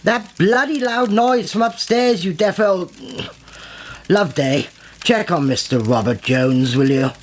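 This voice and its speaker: An actor playing a haughty, villainous occultist lord in a radio play, pompous, sardonic and commanding. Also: male voice, sits high, unhurried. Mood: impatient, commanding. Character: haughty villainous lord leading an occult ritual, suave and menacing. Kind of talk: old-time radio play acting. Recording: noisy recording with clicks.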